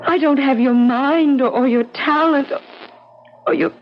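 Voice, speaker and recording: A woman playing a distressed but resolute wife in an old-time radio drama, speaking in a halting, emotional way. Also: high voice. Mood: tearful, upset. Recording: muffled old radio recording, faint noise.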